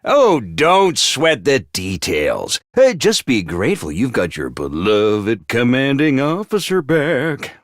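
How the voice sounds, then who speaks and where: A man voicing a small, bossy male robot commander in a video game, theatrical and self-important, prone to shouting. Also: huge pitch swings, takes it slowly, few pauses, no room sound, studio quality, exaggerated voice. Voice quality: squeaky, over-the-top. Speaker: voice actor. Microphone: dry studio mic.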